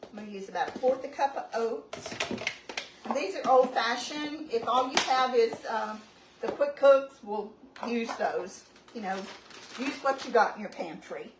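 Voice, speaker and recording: A woman's voice: on the high side, unhurried. A woman hosting a home cooking video, chatting casually and rambling through the steps in her kitchen. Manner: chatty, rambling, homey asides. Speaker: home cooking channel host. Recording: roomy, distant, noisy home recording.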